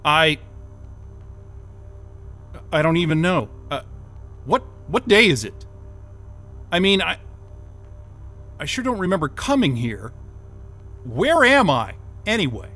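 Bewildered job candidate, higher male voice, halting and stammering, very varied pitch, audio drama. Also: very animated, bright, forceful tone, faint music behind, full character voice. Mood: agitated disbelief.

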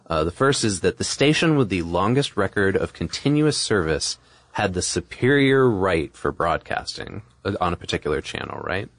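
Low-voiced man explaining on a podcast. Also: very animated, normal speed, a bit bright, no room sound. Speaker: podcast co-host. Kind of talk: casual explanatory podcast talk.

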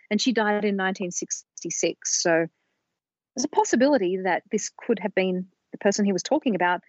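A woman co-hosting a podcast, explaining research in a measured storytelling way on a slightly dull, lo-fi recording. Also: medium pitch, no echo. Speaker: podcast co-host presenting research.

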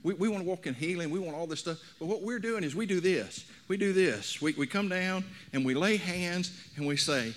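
A man's voice: high voice, animated, brisk, few pauses, a little hiss or hum. A man in his sixties preaching a sermon in a folksy way, telling anecdotes and putting questions to his listeners. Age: 60s. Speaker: pastor preaching. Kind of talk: sermon to a congregation.

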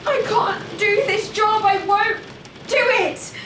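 A woman's voice: very high voice, takes it slowly, fairly bright tone, exaggerated voice. A woman playing a despairing, worn-out character in an audio drama, anguished, with repetitive, breaking phrases. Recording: echoey, distant room with faint noise.

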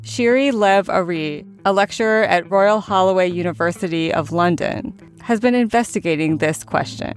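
A woman hosting a science podcast, reading scripted narration in a measured, explanatory way. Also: lowish voice, soft background music. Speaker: podcast host.

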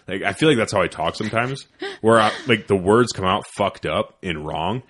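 A man with a deep voice that mumbles a little, chatting casually as host and swearing freely. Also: normal speed, a bit bright. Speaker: podcast host. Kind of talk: casual chat.

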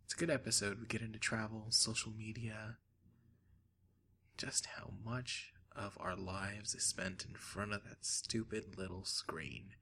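Low-voiced man introducing a podcast. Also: fairly even intonation, a little slow, bright, forceful tone, a little hiss or hum. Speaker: podcast host.

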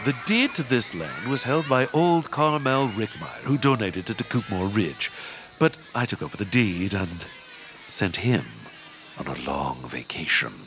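An actor playing a greedy, scheming land baron with a pompous, theatrical, drawn-out delivery in a comic audio drama. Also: male voice, on the low side, lively intonation. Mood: gloating.

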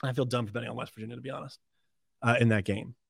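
A man hosts a sports podcast in an unscripted, conversational style. His voice sits a little high for a man and is recorded dry, with very little room sound. He talks through analysis and picks as if thinking aloud, often correcting himself mid-sentence. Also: fairly even intonation, rapid-fire, vocal fry, breathy edge, dry recording.

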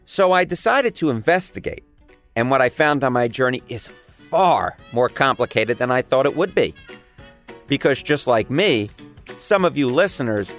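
A man's voice: medium pitch, animated, normal speed, a bit bright, soft background music. A man with a New York accent hosting a podcast, narrating in a curious, storytelling way and quizzing a guest. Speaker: podcast host.

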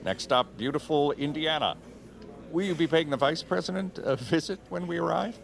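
An actor playing a deferential, nervously jovial political aide in a comedic audio drama. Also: male voice, a little high, normal speed, vocal fry. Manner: stammering, deferential.

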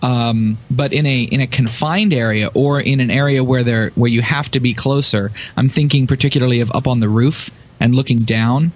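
A man's voice: mid-range voice, normal speed, hardly any pauses. A man with a mid-range voice talks as a podcast panelist in a casual gaming discussion. He explains his points with emphasis and walks through examples as he goes.

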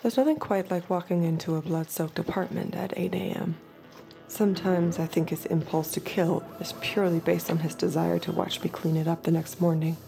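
Low, gravelly, haunted artificial woman. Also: flat delivery, fairly quick, faint music behind. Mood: numb, bitter. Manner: quiet, intimate, breathy inner monologue. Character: subdued artificial young woman, haunted reluctant killer. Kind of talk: dramatic first-person audio fiction narration.

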